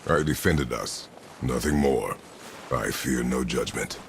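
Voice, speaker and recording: A stern warrior father with an extremely low, gravel-filled voice. He speaks in terse, commanding phrases, video game style, over noisy ambience. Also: unhurried, full character voice. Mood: stern, defiant. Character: stern, terse, imposing warrior father, middle-aged man. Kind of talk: video game character acting.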